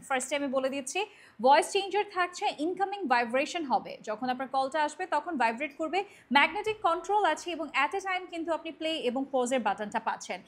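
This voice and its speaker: A woman with a Bangladeshi accent giving a casual, chatty product pitch as a shop presenter, mixing in English words.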